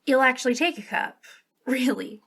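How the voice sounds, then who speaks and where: Woman acting as young witch shopkeeper, higher-pitched, creaky edge, soft ASMR delivery, eager, awkward, halting. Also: exaggerated voice. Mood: eager, friendly. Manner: hesitant, halting, giggly. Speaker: ASMR roleplay voice actor. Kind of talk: ASMR roleplay, softly spoken.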